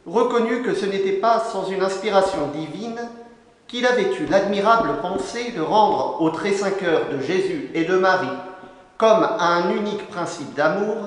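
A young man preaches from a lectern in a large church, his voice set high for a man. He reads in solemn, measured phrases. The sound rings with a very strong, long reverb from the stone hall. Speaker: canon preacher. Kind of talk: formal sermon read from a lectern.

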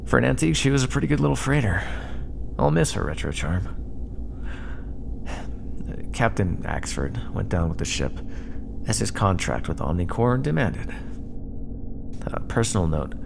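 A man's voice: lowish voice, sing-song, moderate pace, pauses often, full character voice. A man playing a sardonic, battered starship first officer dictating a log in an audio roleplay, wry and exasperated, with muttered asides. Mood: weary, dry. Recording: clear background noise under the voice.

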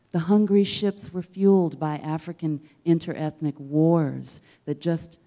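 A middle-aged woman giving a dramatic spoken-word literary reading on stage, measured and rhetorical, with deliberate pauses. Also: sits low, mellow. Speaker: poet reading at an event. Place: indoors on a dark theater stage. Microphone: handheld-style microphone on a stand, close.

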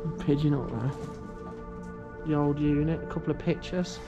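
A man's voice: a little high, fairly even intonation, moderate pace, creaky, dull audio. A man, vlogging as he explores an abandoned site outdoors, thinking aloud and often calling out to his viewers. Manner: chatty, thinking aloud, often says "guys". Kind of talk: casual walking commentary. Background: background music.

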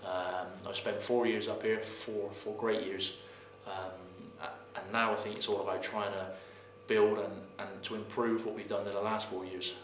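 A man in his thirties, a footballer, answering post-match questions in a halting way, repeating words and filling pauses with "um". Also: fairly low pitch, level tone. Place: press room in front of sponsor board. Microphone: camera mic, head and shoulders framing. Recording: roomy with faint noise.

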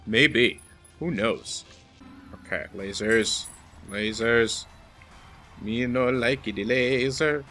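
A man's voice: mid-range voice, slow pace, pauses often, sharp tone. A man giving casual, wry and self-deprecating gameplay commentary as he talks to the game he is playing. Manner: wry, self-deprecating, talking to the game's boss. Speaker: let's play host. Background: video game music.

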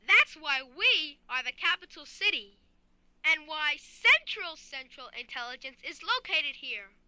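Woman doing a robotic little newsboy, super high n sharp, officious, reciting stuff, radio play. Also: very animated, unhurried, heavily acted. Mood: officious, boastful. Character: brisk robotic young newsboy reciting propaganda. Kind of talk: audio drama acting.

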